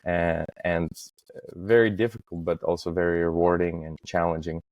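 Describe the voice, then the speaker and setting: Low flat-voiced man, halting. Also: no echo, highs cut off. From Estonia. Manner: halting, frequent fillers and restarts. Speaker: podcast guest. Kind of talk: casual interview talk.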